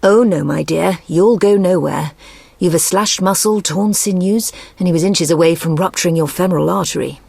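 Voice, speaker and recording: An actress playing a stern, motherly priestess healer in a video game, with a raspy, creaky voice. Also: on the low side, moderate pace, darkish tone, no room sound. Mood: firm, caring.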